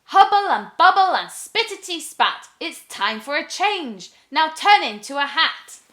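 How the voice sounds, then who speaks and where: A woman's voice: high-pitched, sing-song, measured pace, bright, forceful tone, echoey room, pitched above the performer's own voice, full character voice. A woman voicing a hot-tempered, mischievous young witch in a storybook, exclaiming and chanting rhymes dramatically. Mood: gleeful, mischievous.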